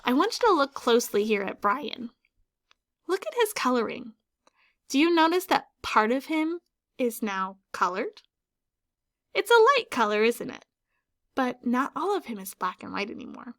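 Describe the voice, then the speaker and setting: A woman teacher reading a children's picture book aloud, pausing to ask her listeners questions about the story. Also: high voice, big pitch swings, a bit bright.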